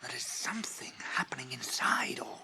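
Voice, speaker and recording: A man playing a watchful, keen-sensed elf archer with a hushed, breathy, tense delivery in a 1980s radio drama. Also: mid-range voice, sing-song, moderate pace, whispered, rough recording.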